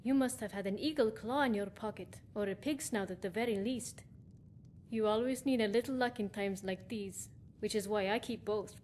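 An actress playing a superstitious rustic wife and mother in a video game, homely, gently scolding and eerily hospitable. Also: mid-range voice, moderate pace, slight background noise, lightly acted. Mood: matter-of-fact. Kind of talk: video game character acting.

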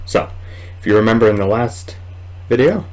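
A man in his twenties giving casual, rambling let's play commentary at home, halting at times. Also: fairly low pitch, animated, darkish tone, low-quality recording. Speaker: gaming video host. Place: small room at home, indoors.

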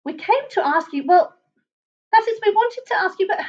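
A woman's voice: extremely high pitch, lively intonation, normal speed, darkish tone, muffled recording, voice pushed up. A woman voicing a small, earnest and timid young animal hero in a children's storybook. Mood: hesitant.

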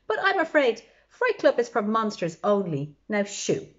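A storybook vampire club leader, played by a woman. He is condescending and easily exasperated, with emphatic, very lively delivery on a dull recording. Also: medium pitch, a little slow. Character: bossy, dismissive male vampire club leader.

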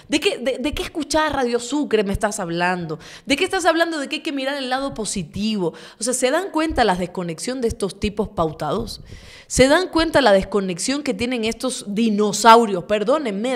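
High, indignant woman commentator. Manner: indignant, sarcastic, emphatic. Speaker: host. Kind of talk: opinionated political commentary to camera. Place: small furnished indoor studio. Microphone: desk condenser microphone, close.

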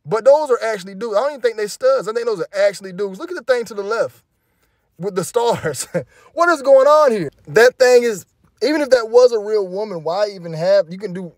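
A man's voice: sits high, expressive, brisk, a little mellow, dry recording, clean studio sound. A man giving casual, indignant reaction commentary over music videos, rambling and emphatic.

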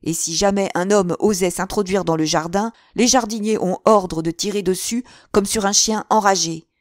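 A woman's voice: medium pitch, moderate pace, no echo, clean studio sound, natural voice. A woman reading aloud, voicing a stern, sneering aristocratic father. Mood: harsh. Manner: harsh and sneering.

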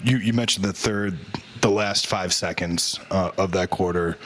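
A man with a low voice puts a question to a coach at a press conference, pausing and restarting as he lays it out. A faint hiss of room ambience sits under his voice. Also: steady tone, a bit bright. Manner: hesitant, with restarts. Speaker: reporter.

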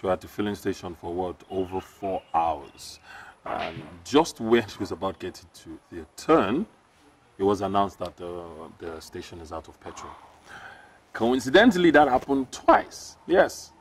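A man in his thirties with a Nigerian accent, acting a clinic manager in a film drama and speaking in a measured, stern way. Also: low voice, expressive. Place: outdoors on a clinic balcony. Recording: distant mic with faint noise.

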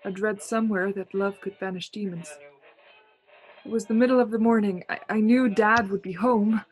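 A woman's voice: mid-range voice, steady tone, moderate pace, breathy edge, natural voice, rough recording. An actress playing a haunted young woman traveller in a suspense radio play, with a theatrical, brooding and fraught manner. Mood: wistful. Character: Ronnie Adams, haunted young woman traveller narrating her ordeal. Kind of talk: suspense radio play acting, dramatic monologue. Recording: music bed under home-recorded radio play.

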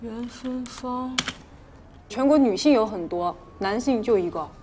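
A woman plays a young policewoman in a film drama. She is polite and helpful, with a rather high voice over clear office typing noise. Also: unhurried, close to an everyday voice. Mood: polite, businesslike. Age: young adult.

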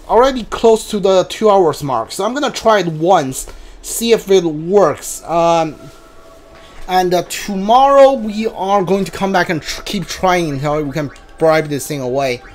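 A man with a high voice narrates his gameplay live in a casual style. He speaks very slowly and haltingly, working out his choices aloud as he goes. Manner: hesitant, halting, thinking aloud. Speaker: game streamer.

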